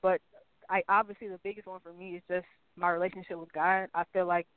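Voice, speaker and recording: A woman's voice: on the low side, flat delivery, fast talker, dry recording. Upbeat young woman on a phone line. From Texas. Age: 20s. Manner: upbeat, many fillers and repeats. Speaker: guest. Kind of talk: casual interview chat.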